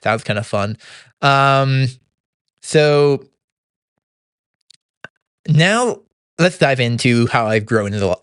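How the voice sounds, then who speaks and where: A young man hosting a podcast, giving a casual monthly recap in an upbeat, halting way with many restarts and a throat that sometimes sounds slightly gravelly. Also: medium pitch, fairly bright tone, dry recording, clean studio sound. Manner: upbeat, laughs easily. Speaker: podcast host.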